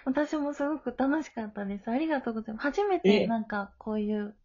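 A woman's voice: fairly high pitch, expressive, fast, a little mellow. A woman chatting casually in a modest, polite, giggly way. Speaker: live streamer, amateur line reader. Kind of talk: casual chat. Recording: dull lo-fi audio.

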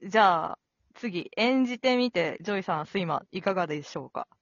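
A woman's voice: lowish voice, lively intonation, a little fast, gravelly, dry recording. A woman hosting a casual podcast, speaking politely and conversationally. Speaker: host. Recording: dry, dull lo-fi audio.

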